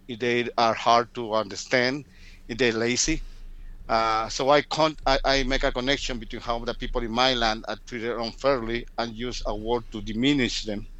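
A man's voice: medium pitch, fairly bright tone, no room sound, low-quality recording. Guatemalan-accented man in his fifties, dry sound. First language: Spanish. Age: 50s. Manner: occasional stutter, repeating words. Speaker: storyteller, interviewee. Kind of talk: reflective personal interview answers.

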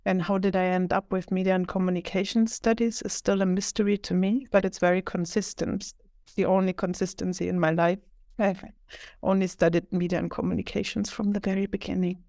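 A woman's voice: medium pitch, flat delivery, fairly quick, mellow, no room sound, clean studio sound. A woman academic answering interview questions about her work in a reflective, explanatory, conversational way. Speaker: academic author, interview guest.

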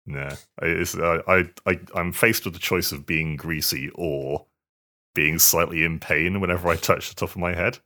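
A man's voice: low-pitched, fairly even intonation, normal speed, bright, forceful tone. A British man chatting casually on a podcast, hesitant and stammering, with a self-deprecating manner. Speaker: podcast co-host, hardware journalist. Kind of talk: casual chat.

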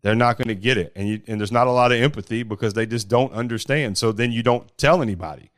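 A man with a big, deep voice, talking bluntly about running a startup in a casual podcast conversation. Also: quick pace, dry recording, clean studio sound. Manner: blunt and forceful, with mild swearing. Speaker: startup founder.

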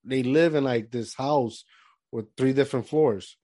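A man's voice: medium pitch, a little slow, warm tone, no echo. Man from puerto rico, thirties, casual rambling chat, many restarts, dry close recording.

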